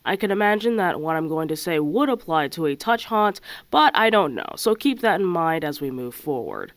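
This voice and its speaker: A young woman who works as a haunted house actor, giving scripted advice in a blunt voice with dry sarcastic asides, a little raspy from a cold. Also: low voice, very animated, moderate pace, dry recording.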